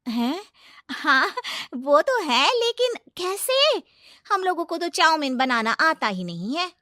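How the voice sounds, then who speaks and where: A woman's voice: high voice, sing-song, takes it slowly, bright, forceful tone, no room sound, clean studio sound, voice pushed up, heavily acted. A woman voicing a moneylender's fawning, greedy village wife in a cartoon moral story, drawling and exclamatory. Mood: eager, puzzled.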